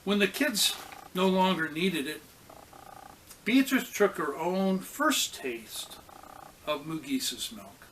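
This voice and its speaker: Higher-pitched man reading a children's story. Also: takes it slowly, long pauses, a little hiss or hum, rough recording. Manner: expressive, acts out characters' lines. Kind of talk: storybook read-aloud for children.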